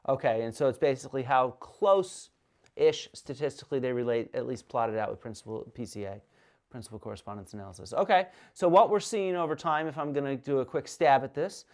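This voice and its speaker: A man hosting a casual interview over a video call, chatty and quick to laugh, often stumbling and restarting his sentences. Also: mid-range voice, lively intonation. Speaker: host.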